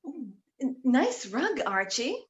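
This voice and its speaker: Dull recording, woman acting scheming seductress, high swooping voice, gushing, coaxing, comic stage reading. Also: very animated, slow pace, exaggerated voice. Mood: coy. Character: Wanda, seductive, scheming romantic grifter.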